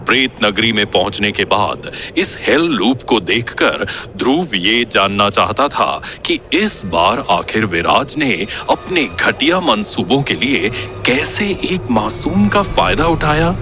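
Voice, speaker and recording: A man's voice: medium pitch, sing-song, slow pace, few pauses, pushed and bright. A man narrating a scripted horror story in a dramatic style. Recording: clear background music.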